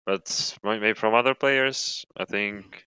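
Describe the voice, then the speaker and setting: A man's voice: on the low side, takes it slowly, sharp tone, clear, resonant tone, no room sound, rough recording. A young man from Latvia, a pro gamer chatting casually in an interview with rambling, hesitant phrasing. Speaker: professional esports player.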